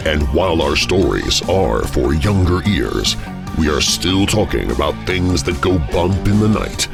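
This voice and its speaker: Deep gravelly man, slow and spooky. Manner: slow, drawn-out, ominous delivery. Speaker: show announcer. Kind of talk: spooky show intro. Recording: clear music bed under voice. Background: plucked guitar music.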